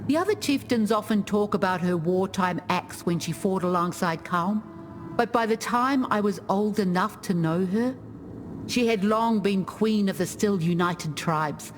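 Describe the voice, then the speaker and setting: A woman playing a proud, wise ancestral chieftain in a video game, speaking in measured, dignified storytelling. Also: mid-range voice, a little hiss or hum, lightly acted. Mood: solemn, reflective. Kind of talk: scripted game character monologue.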